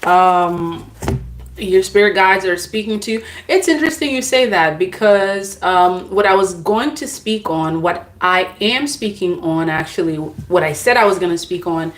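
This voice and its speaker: A woman's voice: fairly low pitch, unhurried. A woman whose first language is not English, casually chatting with viewers in a live stream as a tarot reader, greeting them by name and laughing at herself.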